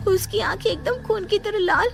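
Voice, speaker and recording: A woman's voice: very high-pitched, normal speed, vocal fry, music in the background. A woman playing a frightened, anxious young office worker in a cartoon horror story, nervous and pleading. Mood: fearful. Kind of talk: animated Hindi horror story dubbing.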